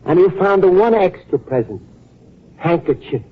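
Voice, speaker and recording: An actor playing a naive, earnest, lovestruck Italian immigrant in an old-time radio comedy, speaking accented broken English. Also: male voice, sits high, animated, moderate pace, slightly rough. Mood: shy, adoring. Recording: muffled old radio broadcast recording.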